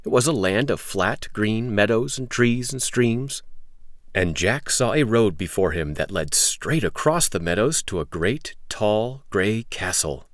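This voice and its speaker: A man hosting a read-aloud, telling a story to young children in an expressive, emphatic way. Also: lowish voice, measured pace, no echo, studio quality.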